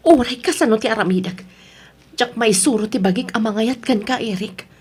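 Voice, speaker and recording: A woman's voice: fairly high pitch, normal speed, darkish tone, slightly rough. A woman playing an unhappy wife and mother who wants her freedom, in an Ilocano radio drama. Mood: pleading.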